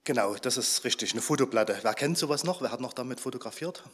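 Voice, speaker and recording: A slightly hoarse man who is under the weather gives a chatty, informal talk to a gathered congregation and keeps asking them questions. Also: medium pitch, expressive, fast talker, fairly bright tone. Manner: chatty, asks the audience questions.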